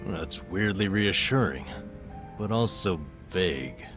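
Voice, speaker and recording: A man's voice: low voice, expressive, slow pace, over music. An actor playing a stressed, boastful middle-aged vagabond in a video game cutscene, telling his tales dramatically and colloquially.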